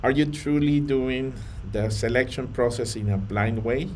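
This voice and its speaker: A man from Colombia, earnest and measured, talking to camera with a few fillers and restarts. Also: mid-range voice, slight background noise. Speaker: vlogger on data careers. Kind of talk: to-camera talk.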